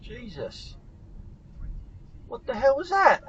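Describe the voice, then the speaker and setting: A man's voice: extremely high pitch, wildly swooping pitch, slow pace, a bit bright, lo-fi sound, a little hiss or hum. A British man with a stuffy nose from a cold, reacting in exasperated outbursts at other drivers from inside his car. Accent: British English.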